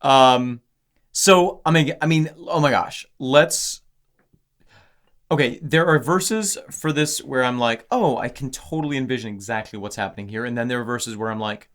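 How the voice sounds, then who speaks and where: A man co-hosting a casual podcast, talking excitedly about song lyrics with many restarts; English is not his first language. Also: mid-range voice, moderate pace. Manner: animated, exclamatory, lots of restarts. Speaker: podcast co-host.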